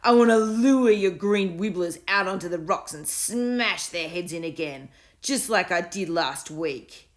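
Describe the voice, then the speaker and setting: A woman voicing a schoolboy bully who taunts slowly and savours every threat. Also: lowish voice, lively intonation, voice pushed up. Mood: gloating. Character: gloating bully schoolboy who relishes violence.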